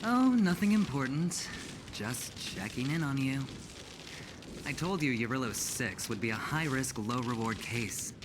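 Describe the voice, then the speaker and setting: An actress playing a smug, teasing corporate colleague in a video game, playful, sly and mocking. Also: female voice, extremely low pitch, lively intonation, a little slow, noisy background. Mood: condescending. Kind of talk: scripted video game dialogue.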